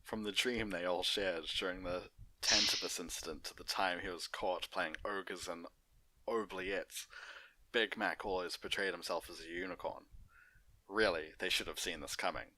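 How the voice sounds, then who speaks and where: A man's voice: low voice, steady tone, normal speed, bright, forceful tone, slight background noise, poor audio. A man narrating and hosting an unedited fan fiction story reading.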